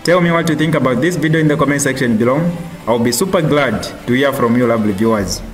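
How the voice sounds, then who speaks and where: A man's voice: medium pitch, fast, poor audio. Young Zambian man hosting a news channel. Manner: upbeat presenter greeting viewers. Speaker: YouTube news host. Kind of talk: scripted news reading and channel intro. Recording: faint music behind.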